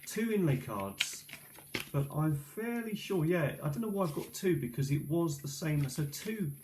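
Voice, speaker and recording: A man's voice: a little high, big pitch swings, moderate pace, a little mellow. A middle-aged man giving a casual, rambling show-and-tell at a kitchen table, hesitant and musing aloud, recorded on an old tablet's microphone. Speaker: video maker presenting old cassette tapes. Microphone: built-in microphone of an ageing iPad. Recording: clearly noisy tablet recording with clicks.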